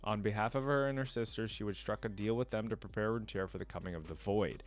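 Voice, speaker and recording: A man's voice: fairly low pitch, quick pace. A man hosting a reaction video, chatting casually to viewers, thinking aloud and reading wiki text out as he goes. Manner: conversational, thinking aloud, often musing to viewers.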